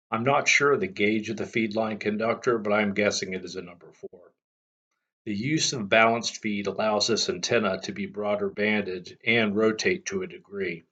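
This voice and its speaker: A man giving a measured, matter-of-fact technical narration as the host of a hobbyist channel. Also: on the low side, fairly bright tone, slightly rough. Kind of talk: explanatory scripted narration.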